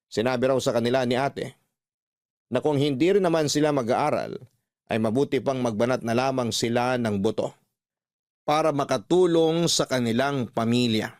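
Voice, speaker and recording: A man's voice: medium pitch, no room sound, studio quality, lightly acted. A man playing a responsible uncle, narrating his story earnestly as scripted radio drama.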